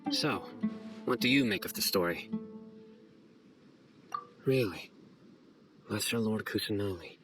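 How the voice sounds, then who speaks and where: A stern young male investigator from a video game, with a low voice and formal, deliberate phrasing. He is guarded and measured, choosing his words with care. Music plays clearly behind him. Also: animated. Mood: skeptical, measured. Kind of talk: scripted video game dialogue.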